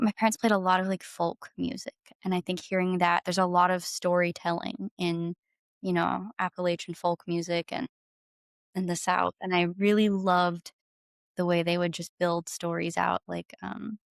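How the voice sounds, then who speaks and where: A woman's voice: lowish voice, gravelly, no echo, studio quality. A woman talking casually and enthusiastically as a guest in an interview, effusive about her creative work. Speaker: podcast guest, singer-songwriter and audio drama creator.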